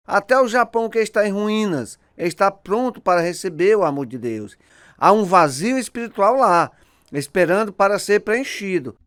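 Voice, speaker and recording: Fervent preacher, high-pitched, exhorting and declamatory, close dry radio-drama sound. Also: male voice, a bit bright, dry recording. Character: fervent male missions preacher addressing believers. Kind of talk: dramatized sermon.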